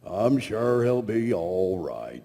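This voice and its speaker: A man's voice: on the low side, very animated, takes it slowly, creaky, mellow, full character voice. A man voicing a fussy, anxious, polite robot butler with prim, exaggerated fretting in a live comedic parody reading. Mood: fretful. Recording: echoey convention hall.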